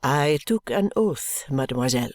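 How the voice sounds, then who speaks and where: A woman voicing an intense, proud French lady's maid with a French accent, speaking in a low, earnest, subdued way. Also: lively intonation, moderate pace, a little mellow, no room sound, studio quality. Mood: cool, polite.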